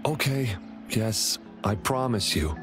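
A man's voice: lowish voice, lively intonation, slow pace. An actor playing a timid, remorseful young man in a video game, a devoted brother who speaks hesitantly and apologetically. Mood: hesitant, gentle. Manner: hesitant, apologetic, soft-hearted. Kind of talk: video game character dialogue. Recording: clear music behind the dialogue.

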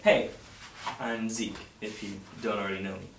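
A young man reading the part of a lovesick teenage boy, earnest and halting, in a web series script. The sound is distant and echoey, with noticeable noise in the room. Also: lowish voice, level tone. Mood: earnest, excited. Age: young adult. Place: home dining room around a table. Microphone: far camera. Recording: roomy, distant mic, clear background noise.

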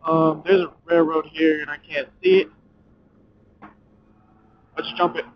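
A young man chatting casually and excitably as a motorcycle vlogger, swearing and using slang as he rambles while riding. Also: on the high side, measured pace. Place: outdoors, riding a motorcycle at night. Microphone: helmet communicator mic inside helmet. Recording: muffled helmet intercom mic, clear background noise.

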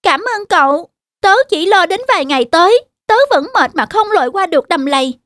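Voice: very high-pitched, expressive, a little fast, pushed and bright, no echo, exaggerated voice. An actor voicing a lazy, whiny young boy mouse who frets and exclaims in a children's cartoon. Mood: feigned tiredness.

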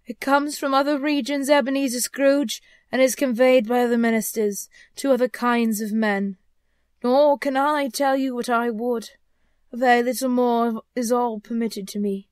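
Lady doing a tormented storybook ghost guy, high wailing voice, slow dramatic drawn out words, super remorseful. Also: steady tone, a little mellow, smooth, dry recording, lightly acted. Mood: solemn, foreboding. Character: tormented, remorseful male ghost of a dead businessman. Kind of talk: dramatic storybook character reading.

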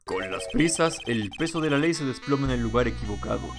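A man voicing a cool, cynical male prosecutor in a video game, measured and sardonic. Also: medium pitch, lively intonation, over music, pitched below the performer's own voice, natural voice.

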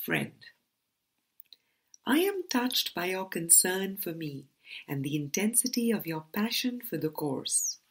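A woman reading a spiritual book aloud as scripted narration, in a deliberate manner with pauses between phrases. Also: lowish voice, very animated, breathy edge. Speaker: audiobook reader.

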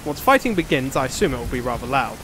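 A man's voice: medium pitch, big pitch swings, fast, background noise, close to an everyday voice. A man playing a pompous, self-important leader of adventurers in a comedy fantasy audio drama. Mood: commanding. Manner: pompous, officious. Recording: rain and thunder behind.

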